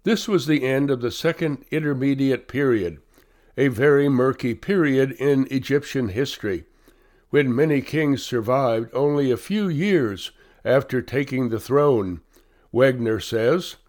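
A male volunteer reader with a cold, reading magazine articles aloud slowly and carefully. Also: medium pitch, a little mellow. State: has a cold.

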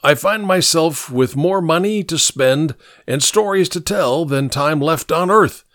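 A man's voice: fairly high pitch, a little slow, fairly bright tone, no room sound, clean studio sound, pitched above the performer's own voice. A man voicing an old, drunken, wistful scrounger who speaks in florid phrases and stumbles over his words. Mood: genial, melancholy. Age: older.

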